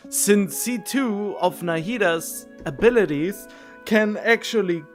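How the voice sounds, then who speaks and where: A man with a voice a little higher than most chats casually as he streams a game. He thinks aloud, rambling and rewording himself as he goes. Faint game music plays behind him. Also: takes it slowly. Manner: rambling, self-correcting, musing. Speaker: video game livestreamer.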